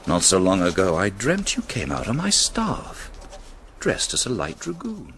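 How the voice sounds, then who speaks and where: An actor playing a brusque, sardonic aristocratic army commander in a radio drama, imperious and dry. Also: male voice, sits low, huge pitch swings, unhurried, a bit bright, slight background noise, exaggerated voice. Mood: dictating, fondly brusque.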